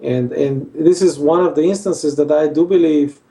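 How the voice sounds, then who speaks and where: A man's voice: on the high side, fairly even intonation, normal speed, dark tone, far from the mic. A male surgeon from Bulgaria, answering interview questions hesitantly with many fillers. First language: Armenian. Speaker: facial plastic surgeon.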